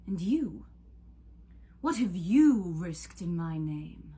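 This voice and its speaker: A woman voicing an imperious male god of love in a storybook, drawn-out, menacing and declamatory. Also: fairly low pitch, big pitch swings, warm tone, breathy edge, exaggerated voice. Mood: taunting disappointment.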